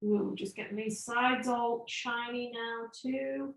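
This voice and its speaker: A woman with a croaky voice, chatting casually with her co-host while she paints and often trailing off mid-sentence. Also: fairly high pitch, steady tone, takes it slowly, echoey room, poor audio.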